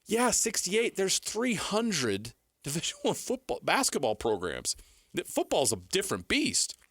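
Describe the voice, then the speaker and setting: A man's voice: sits high, huge pitch swings, a little slow, fairly bright tone, slightly rough, no echo, clean studio sound. A man co-hosting sports radio, debating casually in an opinionated, emphatic way.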